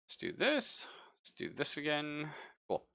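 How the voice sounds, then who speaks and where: A man's voice: a little high, huge pitch swings, unhurried, gravelly, pushed and bright. A man running a tabletop roleplaying game as game master, talking casually and joking with a lot of laughter. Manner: jokey, laughs often.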